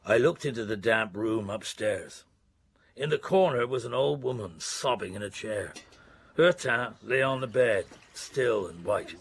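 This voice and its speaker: An actor playing a weary, dogged middle-aged police detective who narrates his case in a radio drama, with a gravelly voice. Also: fairly low pitch, unhurried. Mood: reflective, brooding. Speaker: narrator and lead character. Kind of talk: radio drama acting, first-person narration.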